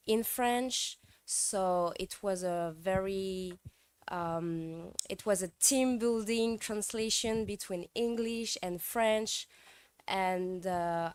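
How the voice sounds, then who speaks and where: A woman with a smooth, resonant voice speaks slowly and easily at a press conference, recorded close and dry with almost no room sound. She talks fluently, dropping in only a few light fillers. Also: medium pitch, no room sound.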